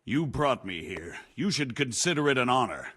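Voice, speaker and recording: An actor playing a scheming imprisoned knight who talks slyly and self-pityingly in a video game cutscene. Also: male voice, mid-range voice, sing-song, moderate pace, pushed and bright, slightly rough, heavily acted. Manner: sly, wheedling, self-pitying. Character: scheming imprisoned robber knight, older man.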